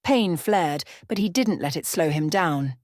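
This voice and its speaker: Woman, lower-pitched voice, dry close sound, scripted story narration, ASMR bedtime storyteller. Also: animated, measured pace, darkish tone, dry recording.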